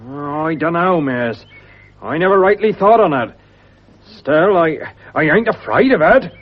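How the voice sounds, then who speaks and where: Lilting, husky English country lad. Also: male voice, a little high, lively intonation, unhurried. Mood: deferential. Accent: English rural. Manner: respectful rustic talk. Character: deferential, plucky young country trapper, simple and practical. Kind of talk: 1950s British radio drama acting. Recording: muffled vintage radio recording.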